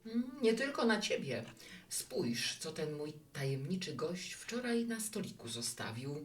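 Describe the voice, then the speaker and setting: A woman's voice: low-pitched, very animated, unhurried, recorded from a distance. An actress playing a chatty, romantic, hospitable woman who runs a bar in an audio drama. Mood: confiding. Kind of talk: Polish audio drama acting.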